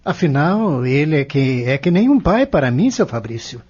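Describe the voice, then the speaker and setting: Casting: male actor as earnest youth, higher husky voice, respectful, muffled audio drama sound. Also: big pitch swings, moderate pace, darkish tone. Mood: earnest, concerned. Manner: earnest, deferential. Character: respectful, concerned young man seeking guidance.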